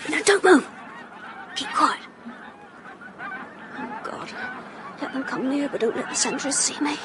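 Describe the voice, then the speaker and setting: An actor playing a plucky, quick-thinking boy in a British radio drama, urgent, decisive and well-spoken. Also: extremely high pitch, huge pitch swings, noisy background, full character voice. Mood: tense, excited.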